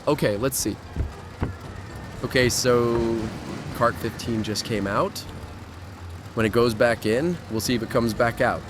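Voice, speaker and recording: A man's voice: mid-range voice, measured pace, long pauses, background noise, lightly acted. A man acting as a cocky, daring young urban explorer in a horror audio drama, with a breezy, cajoling, self-assured way of talking.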